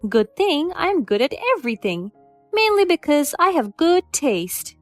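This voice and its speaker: A woman's voice: high voice, very animated, measured pace, a bit bright, dry recording, soft background music, full character voice. A woman doing a haughty, bossy mother-in-law. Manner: haughty, commanding.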